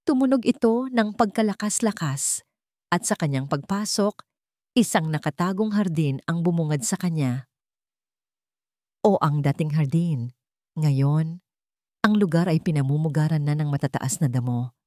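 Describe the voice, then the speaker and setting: A woman's voice: sits low, expressive, normal speed, long pauses, darkish tone, no echo, clean studio sound. A woman with a Filipino accent narrating a scripted romance story.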